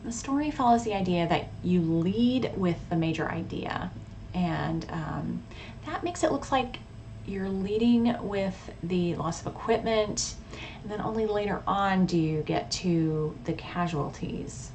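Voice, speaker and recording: A thoughtful, measured woman speaking as a hobbyist vlogger. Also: medium pitch, lively intonation, a little mellow. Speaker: radio hobbyist vlogger and poet. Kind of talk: casual informative vlog talk with poetry reading. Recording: clearly audible background noise.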